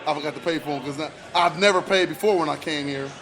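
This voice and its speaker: A man's voice: a little high, quick pace, crowd noise, rough recording. An American man co-hosting a sports radio show, talking in casual slang and repeating words and phrases for emphasis.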